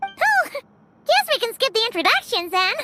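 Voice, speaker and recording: A small floating game companion with an extremely high, childlike voice. The friendly creature speaks eagerly and swoops all over its range, with loud music behind. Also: female voice, big pitch swings, unhurried, full character voice. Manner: exclamatory, eager. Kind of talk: anime video game voice acting.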